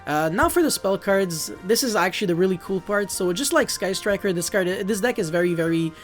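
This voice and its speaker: A man's voice: high voice, animated, fairly quick, soft background music. Young French-native man, chatty and loose, fumbles names and laughs it off, home desk setup. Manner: rambling, self-correcting, laughs at own slips. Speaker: card game youtuber. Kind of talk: casual deck profile walkthrough.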